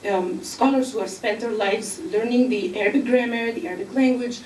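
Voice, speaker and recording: A woman from Egypt speaking on a panel, explaining earnestly with filler pauses. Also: on the high side, darkish tone, recorded from a distance, a little hiss or hum. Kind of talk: panel discussion. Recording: echoey room, mic from afar.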